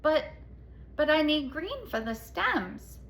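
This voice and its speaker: Storybook little girl, acted by a woman: high bright voice, earnest, silly, takes everything literally. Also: very animated, slow, exaggerated voice. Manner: innocent, puzzled, takes words literally.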